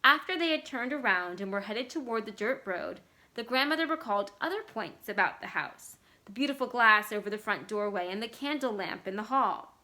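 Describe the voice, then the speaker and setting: Female story reader, fairly high and bright, expressive literary narration. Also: brisk. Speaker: library staff story reader.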